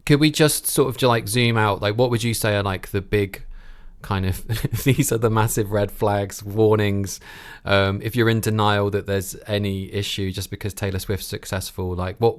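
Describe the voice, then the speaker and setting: A man's voice: lowish voice, steady tone, normal speed, dry recording, studio quality. A man hosting a podcast in an informal, conversational way, thinking aloud as he introduces and interviews.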